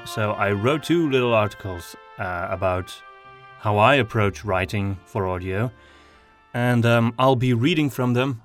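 A man whose first language is Flemish, hosting a podcast and talking about his writing with many pauses and ums. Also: lowish voice, dry recording. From Flanders. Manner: hesitant, many ums and restarts. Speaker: podcast host and audio drama writer. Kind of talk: casual monologue, then reading his own article.

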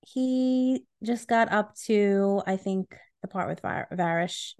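A woman's voice: medium pitch, slow, darkish tone, clear, resonant tone. Woman cohosting a podcast, blunt n opinionated, just chatting, acts out who said what. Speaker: podcast co-host. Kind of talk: casual chat.

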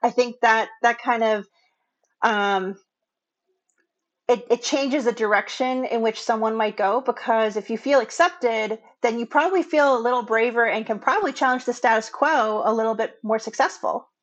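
A woman's voice: fairly high pitch, normal speed, highs cut off. A woman hosting a podcast, chatting casually and affirming her guest often. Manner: affirming, with frequent agreement and fillers. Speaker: podcast host. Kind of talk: casual interview chat.

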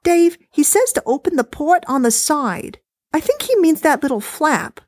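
A woman voicing a fussy, chatty older wife talking on the phone, high-pitched and bossy. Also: very animated, moderate pace. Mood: chirpy, bossy.

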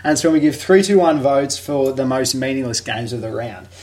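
A man's voice: mid-range voice, fairly even intonation, brisk, a little mellow. A man co-hosting a casual sports podcast, cocky and teasing. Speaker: podcast co-host.

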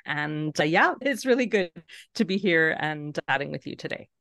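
A woman with a low-pitched voice speaks as an interview guest, friendly and thoughtful, laughing easily between points. The sound is dry and close, with no room around it. She talks like a middle-aged academic explaining her research. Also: expressive, moderate pace, vocal fry, fairly bright tone, no echo. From Canada. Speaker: translation technology professor, guest. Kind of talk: interview answers.

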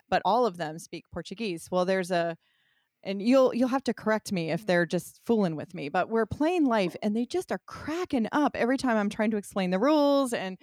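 A woman's voice: mid-range voice, no room sound. A middle-aged woman speaks as an interview host in a mid-range voice. She is informal, chatty and effusive, keeping things conversational. The sound is dry, with very little room in it.

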